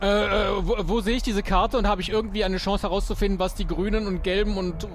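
A man's voice: high-pitched, steady tone, fast talker, slight background noise. A man casually improvising as a player in a role-play audio adventure, chatty and self-deprecating.

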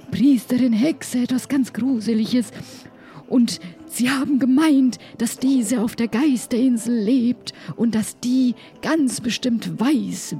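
A chatty adventuring companion, played by a woman in a role-playing game. The voice is a little high with a mellow, dark tone and excitable manner. Faint music runs behind.

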